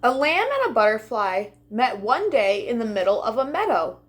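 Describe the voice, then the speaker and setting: A woman reading a children's storybook aloud as a storytime host, with expressive, deliberate delivery for young listeners. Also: on the high side.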